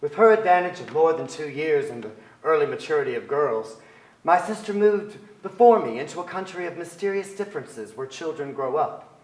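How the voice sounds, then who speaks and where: A man in his forties giving a measured, reflective dramatic reading of literary prose. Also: a little high. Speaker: stage actor, reader. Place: on stage in a theater with an audience. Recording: echoey theater stage.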